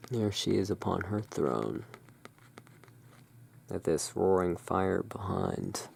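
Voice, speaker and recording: A person playing the soft-spoken, musing owner of a gothic curiosity emporium in a halting, hushed ASMR roleplay. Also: low voice, sing-song, creaky, slightly rough, heavily acted. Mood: musing, relaxed.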